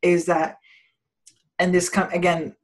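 A woman from Denmark in a casual conversation about spirituality, rambling with many restarts and fillers and often trailing off quietly. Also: fairly low pitch, monotone, a little slow, rough recording.

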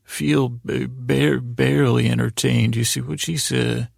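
Guy with a super croaky voice doing a sleepy bedtime podcast, lulling, wanders off mid sentence, really dry recording. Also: mid-range voice, steady tone, no echo. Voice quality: very creaky, gravelly. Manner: meandering, halting, repeats and trails off mid-thought; lulling, soothing delivery. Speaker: podcast host. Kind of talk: rambling bedtime-story podcast monologue.

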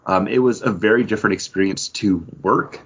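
A man's voice: lowish voice, brisk, noisy background. A man from the United States chatting casually and sharing personal stories as a podcast co-host. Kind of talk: casual chat, personal anecdotes. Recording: dull, lo-fi audio.

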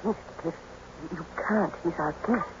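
An actress playing a fearful young mistress of the house, speaking low and urgently, in an old radio drama. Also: female voice, a little slow, natural voice. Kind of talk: 1940s radio acting. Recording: muffled old radio recording with loud hiss.

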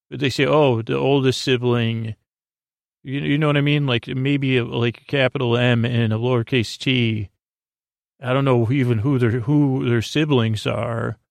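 A man hosting a bedtime podcast, rambling slowly with many hesitations and restarts. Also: mid-range voice, creaky, no echo, clean studio sound. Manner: meandering, drowsy, full of hesitations and restarts. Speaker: podcast host.

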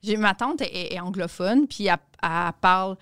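A woman comedian with a Quebec French accent, chatting casually as a podcast guest with many quick agreeing fillers. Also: medium pitch, fairly bright tone, smooth.

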